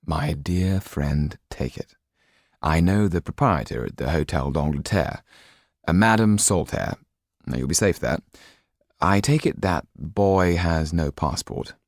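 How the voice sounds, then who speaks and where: A man voicing a courteous, thoughtful gentleman in an audiobook, husky and deep, speaking as a concerned friend in a genteel, confiding manner. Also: animated, measured pace, dry recording, studio quality, heavily acted. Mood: kindly, practical. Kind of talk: audiobook character acting.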